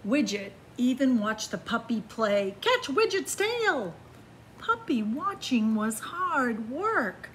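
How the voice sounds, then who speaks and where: A woman reading a picture book aloud to children in a library, with slow, expressive storytelling, hushed whispers and excited rises. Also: a little high, a little hiss or hum. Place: library in front of bookshelves. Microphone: camera facing the book.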